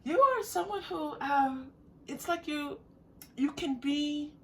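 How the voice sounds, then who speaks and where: Woman tarot reader, distant mic, faint noise. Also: sits high. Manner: halting, searching phrasing with repeated words. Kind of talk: unscripted intuitive tarot reading addressed to viewers.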